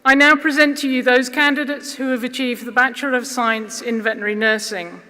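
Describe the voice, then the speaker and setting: A woman presenting at a graduation ceremony, formally announcing names with measured, careful enunciation. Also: fairly high pitch, fairly even intonation. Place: large ceremony hall. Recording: reverberant hall, loud crowd noise. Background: loud audience noise.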